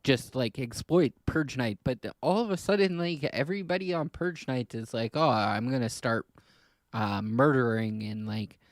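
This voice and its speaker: A man with a mid-pitched voice talks in a very dry, close space. He is a casual podcast co-host who rambles haltingly, doubling back on words as he builds his point. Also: no echo.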